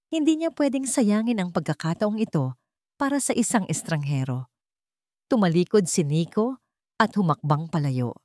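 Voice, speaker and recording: A woman's voice: lowish voice, darkish tone, no room sound, studio quality. A woman reading a scripted story as a dramatic narrator.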